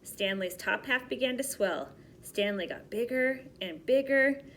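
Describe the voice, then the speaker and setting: A woman teacher reading a storybook aloud to young children and giving simple directions in an expressive way. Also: a little high, measured pace, a little hiss or hum.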